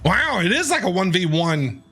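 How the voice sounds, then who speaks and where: A man giving casual live reaction commentary on a video game in an excitable, jokey way, often repeating himself. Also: high voice, moderate pace, vocal fry. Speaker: game streamer reacting to a story quest. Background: game music.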